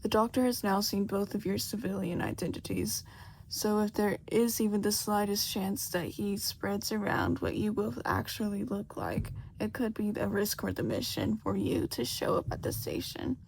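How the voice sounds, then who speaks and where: A serious, careful male detective in an audiobook story read by a woman. He explains in a measured, businesslike way. The voice is creaky and mid-pitched, on a faintly noisy home recording. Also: sing-song. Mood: businesslike, cautious. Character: serious, methodical male police detective briefing agents.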